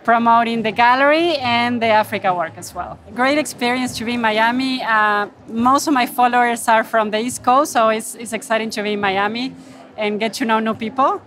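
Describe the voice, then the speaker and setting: Argentinian woman, kinda high voice, talking off the cuff in an interview, earnest, searching for words. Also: moderate pace, fairly bright tone. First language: Spanish. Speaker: wildlife photographer interviewed at her exhibit. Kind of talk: unscripted interview monologue. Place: gallery booth at an indoor art fair. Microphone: handheld microphone.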